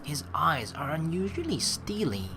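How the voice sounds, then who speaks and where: Lively young man narrating a playthrough. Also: fairly high pitch, unhurried, noisy background. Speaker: let's play host. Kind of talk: reading game narration aloud with casual commentary.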